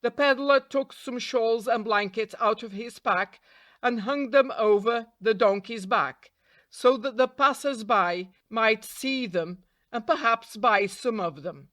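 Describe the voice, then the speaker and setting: A woman narrating a children's fairytale as a storyteller, reading in a deliberate, expressive storybook manner. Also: on the high side, no echo. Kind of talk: scripted fairytale narration for English learners.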